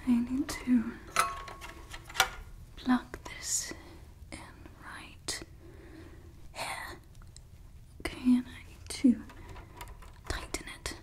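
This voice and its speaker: A woman playing a fussy, playful female evil scientist in an ASMR roleplay, whispering softly and close to the microphone. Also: a little high, completely flat delivery, very slow, pauses often. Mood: soothing. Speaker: ASMR creator.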